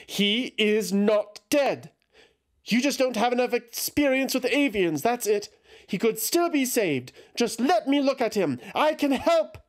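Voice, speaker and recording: A man's voice: very high voice, sing-song, a little slow, voice pushed up, heavily acted. A man voicing a distraught young woman who pleads and refuses to accept a death, in an insistent, pleading manner. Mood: frantic. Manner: pleading, insistent, rising to shouts.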